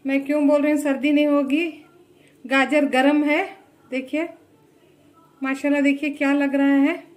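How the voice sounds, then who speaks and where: Chatty high-voiced woman cooking at home. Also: flat delivery, moderate pace, a little mellow. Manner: chatty, repeats "look" and blessings often. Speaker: cooking channel host. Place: home kitchen, indoors.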